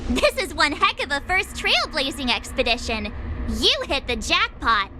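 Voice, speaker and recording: An actress playing a bubbly teenage girl adventurer in a video game, chirpy and expressive. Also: high voice, moderate pace, noisy background. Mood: upbeat.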